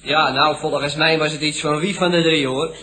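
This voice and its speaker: An actor playing a cheeky, slangy young nephew with brash, garbled chatter in a radio comedy. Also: male voice, on the high side, fairly even intonation, moderate pace, bright, forceful tone. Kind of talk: 1970s Dutch radio comedy acting.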